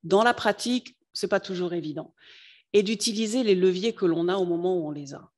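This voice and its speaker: A native French speaker giving a lecture to students, often pausing to search for words. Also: mid-range voice. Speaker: guest speaker on European affairs.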